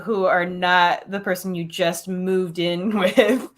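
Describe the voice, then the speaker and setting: A woman co-hosting a podcast over a video call, chatting casually with quick teasing asides and frequent laughter. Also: fairly low pitch, fairly even intonation. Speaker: podcast co-host. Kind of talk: casual chat.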